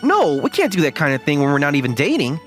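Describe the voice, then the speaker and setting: A man's voice: fairly high pitch, huge pitch swings, fast talker, fairly bright tone, faint music behind. A man voicing an earnest, considerate, easily flustered young man who also narrates a manga dub. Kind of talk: acted dialogue and first-person narration.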